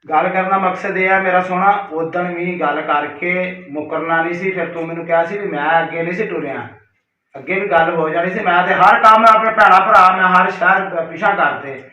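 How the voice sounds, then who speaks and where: A man's voice: high-pitched, brisk, sharp tone. A man delivering an aggrieved personal monologue, reproachful and emphatic, repeating himself as he addresses someone directly. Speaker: caller leaving a recorded personal message. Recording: roomy, distant, dull call recording.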